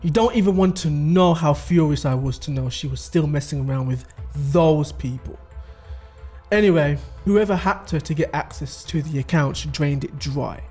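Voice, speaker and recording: A man's voice: a little high, normal speed, warm tone, faint music behind, natural voice. A man playing a scheming middle-aged father, explaining things conversationally in a dramatic audio story. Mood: grave, confiding. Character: scheming middle-aged father recounting his wife's crimes. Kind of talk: dramatic dialogue.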